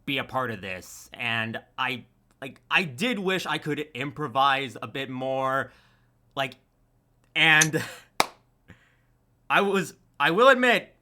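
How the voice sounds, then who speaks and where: A man's voice: medium pitch, animated, takes it slowly, pauses often, sharp tone, a little hiss or hum. A man chatting casually about his creative work, rambling and often restarting his sentences. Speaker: audio drama voice actor and editor. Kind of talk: casual chat.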